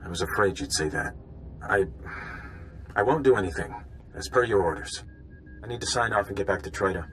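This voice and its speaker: An actor playing an anxious, conscientious undercover informant in a video game, hesitant and deferential. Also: male voice, low-pitched, lively intonation, brisk, a bit bright, slight background noise, low-quality recording. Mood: worried.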